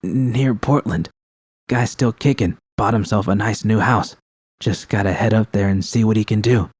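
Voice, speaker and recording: Casting: male narrator as tough, affectionate mercenary woman, casual and clipped, dark soft tone, dry sound. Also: on the low side, moderate pace, husky, no echo. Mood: quietly hopeful. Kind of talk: audio fan fiction dialogue.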